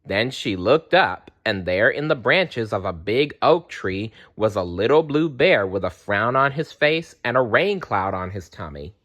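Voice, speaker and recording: Slow, expressive male storybook reader. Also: lowish voice. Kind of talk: expressive children's read-aloud.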